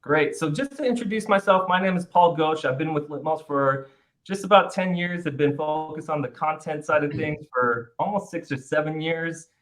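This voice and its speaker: A man's voice: a little high, moderate pace, a bit bright, low-quality recording. Upbeat man hosting a webinar. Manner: upbeat, chatty, many fillers and restarts. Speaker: webinar host. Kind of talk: webinar presentation. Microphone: video call.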